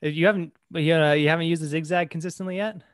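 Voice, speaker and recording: A man chatting casually on a podcast, agreeable and quick to chime in while the other person talks. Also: on the high side, fairly even intonation, smooth, dry recording. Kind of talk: casual chat.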